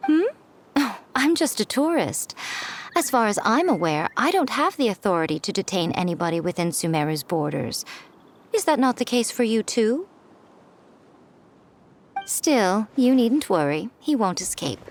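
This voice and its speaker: A woman's voice: mid-range voice, very animated, moderate pace, a little hiss or hum. Elegant, teasing, lilting woman. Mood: coy, teasing. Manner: haughty, composed, knowing. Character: elegant, teasing, self-assured mystical woman. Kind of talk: English game dub voice acting.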